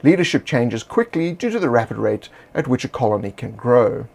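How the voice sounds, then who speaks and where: Male narrator, mid-pitched, measured explanatory read for game lore. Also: lively intonation, vocal fry, a little mellow. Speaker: game designer presenting a monster supplement.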